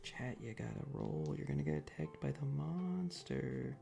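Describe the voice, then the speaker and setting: A soft-spoken, partly whispering ASMR streamer chatting casually and playfully with viewers into a close microphone, often repeating phrases. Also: medium pitch, huge pitch swings, moderate pace, vocal fry, music in the background. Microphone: close adjustable microphone.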